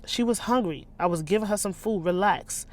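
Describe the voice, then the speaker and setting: A woman's voice: mid-range voice, brisk, a little mellow, close to an everyday voice. Nervous nice young guy in a thriller book woman reading him, hesitant n mild. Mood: defensive. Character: nervous, kindly young man in thriller novel.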